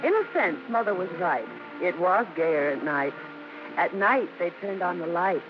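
An actress playing a daughter who narrates fond family memories in a radio drama, with a wry storytelling lilt. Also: female voice, lowish voice, lively intonation, unhurried, over loud music. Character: daughter fondly narrating childhood memories of her showbiz parents. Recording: noisy, dull vintage radio recording.

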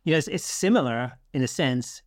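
A man's voice: medium pitch, lively intonation, brisk. A man speaking as a podcast host, chatting casually with a guest in an interview.

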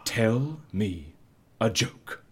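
An actor with a British accent playing an eccentric, genial agency fixer in a scripted audio comedy, coaxing and wry. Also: male voice, lowish voice, big pitch swings, very slow, fairly bright tone, husky, full character voice. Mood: soothing, coaxing. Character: eccentric, genial male agency fixer, cocoa-loving and breezy.

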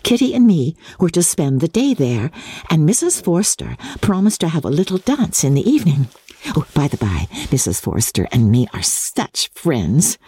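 A woman's voice: sits low, very animated, moderate pace, dark tone, breathy edge, full character voice. Woman acting a giddy, gushing, swooping teenage girl. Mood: excited, boastful. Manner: gushing, exclaiming, giggly. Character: giddy, boastful, boisterous teenage girl in period novel. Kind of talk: dramatic audiobook character reading.

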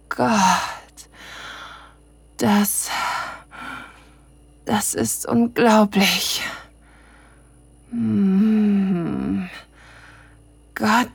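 Breathy, slow, sensual girlfriend. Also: medium pitch, no echo, full character voice. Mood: breathy arousal. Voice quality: breathy, soft.

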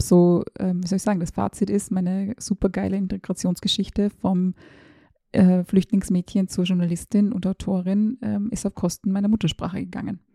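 Woman in her 30s, chatty voice-message style, dark voice, pretty monotone, lots of hesitant ums. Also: medium pitch, gravelly, no room sound, studio quality. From former Yugoslavia, raised in Austria. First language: Serbo-Croatian. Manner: chatty and self-ironic, hesitant fillers. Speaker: podcast host, journalist. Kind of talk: casual personal monologue, like a voice message.

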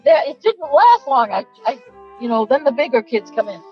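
A woman's voice: a little high, sing-song, soft background music. Older Boston woman on a muffled phone line. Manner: halting, giggly, hesitant. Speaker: host's mother, phone-in caller. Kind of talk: casual phone call. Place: in a car, driving.

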